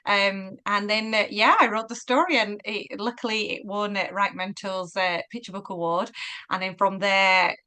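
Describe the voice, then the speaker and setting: Woman author, bright tone, chatty and laughing, casual launch chat. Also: medium pitch, steady tone, normal speed, smooth. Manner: chatty, laughs often, many fillers.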